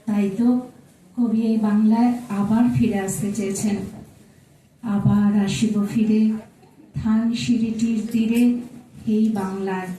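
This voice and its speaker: A woman giving a formal, declamatory poetry recitation with measured phrasing. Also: medium pitch, level tone, mellow. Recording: very echoey room, distant mic, noisy.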